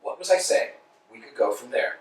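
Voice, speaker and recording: An actor playing Vladimir, an eager, fussy male tramp, in a staged reading, swinging between hushed musing and excited outbursts. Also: mid-range voice, fairly quick, natural voice. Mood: fussing. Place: indoor rehearsal room. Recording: echoey room from far off.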